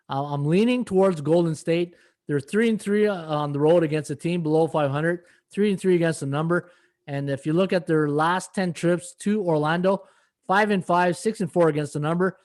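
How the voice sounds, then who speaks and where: A slightly hoarse middle-aged man hosting a live sports betting podcast, rambling through stats and viewer comments with many fillers. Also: a little high, a little mellow. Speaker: podcast host and sports handicapper. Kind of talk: casual live-stream betting analysis.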